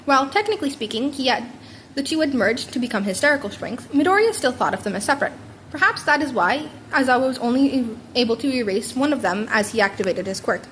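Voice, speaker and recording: Woman, high voice, amateur story narration, wry, stumbles and repeats, faint background noise. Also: poor audio. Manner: wry, informal reading with frequent stumbles and repeats. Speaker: podfic reader narrating fan fiction. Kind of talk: scripted narration read aloud.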